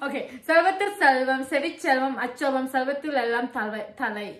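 A woman's voice: sits high, very fast, reverberant. A young woman vlogger, giggly and teasing, doing a couple challenge at home. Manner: giggly, teasing, reciting verses and tongue twisters. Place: indoor living room.

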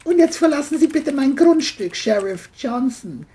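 A high-pitched, brazen male killer from a crime audio play, acted out by a woman. He lies with exaggerated courtesy and dodges every question. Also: very animated, normal speed, warm tone. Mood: smug, dismissive. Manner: mock-polite, evasive.

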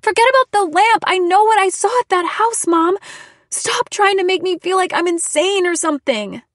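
A woman's voice: animated, very fast, no room sound, studio quality. A woman voicing an anxious, defensive teenage girl who pleads to be believed in an audiobook story. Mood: shrill, upset. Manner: stammering, shrill when upset.

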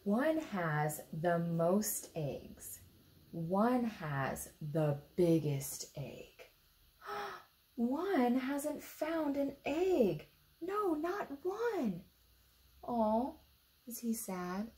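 Animated American woman reading to kids. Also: a little high, drawn-out words. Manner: expressive, simple questions addressed to young listeners. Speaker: storytime reader for children. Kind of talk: animated children's picture book read-aloud with asides. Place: indoor room beside a book display.

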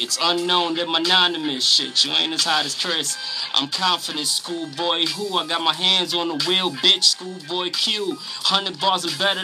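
High-voiced brash male rapper freestyling. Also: poor audio. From Harlem, New York. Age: young adult. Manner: brash, boastful, streetwise. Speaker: guest rapper. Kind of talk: freestyle rap over a beat. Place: small radio studio. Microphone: close studio boom microphone. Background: hip-hop beat playing.

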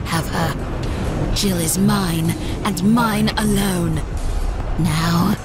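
A woman's voice: lowish voice, very slow, loud music behind the voice. A woman playing a playful, possessive demon moth girl with taunting, sing-song menace in a fan comic dub. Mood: possessive, menacing.

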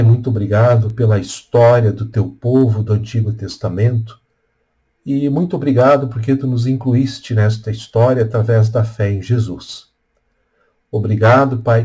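An older Brazilian man speaks as a pastor giving a devotional. His medium-pitched voice has a husky grain. He moves slowly and deliberately, pausing between short phrases. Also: mellow. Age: older, around 60s. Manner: measured, deliberate phrasing with pauses. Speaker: Lutheran pastor. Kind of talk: devotional talk with scripture reading and prayer. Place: small room at home or office, indoors. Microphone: webcam at desk distance.

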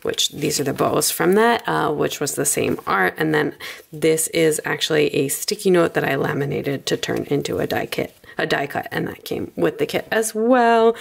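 A woman chatting informally through a casual craft flip-through in a rambling way, slightly hoarse from a cold. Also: low voice. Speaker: craft video creator.

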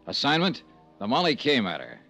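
An actor playing a brisk, wisecracking insurance investigator in a 1950s radio detective drama, clipped and self-assured. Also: male voice, medium pitch, huge pitch swings, bright, forceful tone, soft background music. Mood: brisk, matter-of-fact. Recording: vintage radio recording.

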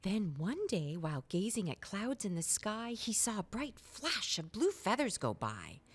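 A woman's voice: medium pitch, big pitch swings, a little slow, breathy edge. A woman in her thirties reading a children's storybook aloud expressively, with playful, emphatic asides for young listeners.

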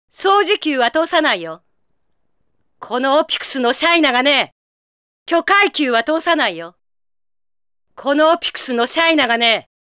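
A woman's voice: high-pitched, bright, forceful tone, no room sound, studio quality. An actress playing a proud, fierce young female warrior with hidden tenderness in an anime video game, tough and commanding in manner. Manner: tough, commanding, rough-spoken. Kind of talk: Japanese anime game voice acting.

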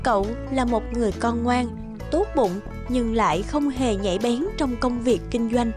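High-pitched woman narrating a children's tale. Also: normal speed, over music. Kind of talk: scripted children's story narration.

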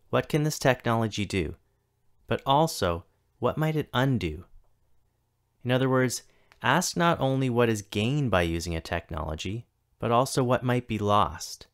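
A man in his thirties reads a prepared keynote for a virtual conference. His voice sits a little low, and he takes his time, pausing between each item in a list. The delivery is steady and deliberate. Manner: measured, deliberate reading with list-like pauses. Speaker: keynote speaker, tech coordinator. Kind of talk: scripted keynote talk. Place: home or office, recorded for a virtual conference.

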